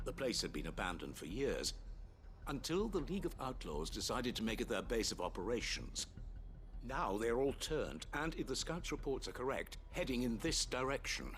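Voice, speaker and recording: A man's voice: mid-range voice, big pitch swings, moderate pace, a bit bright, a little hiss or hum. A man playing a town official in a video game, giving a formal, explanatory briefing on danger. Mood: serious. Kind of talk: dramatic video game dialogue.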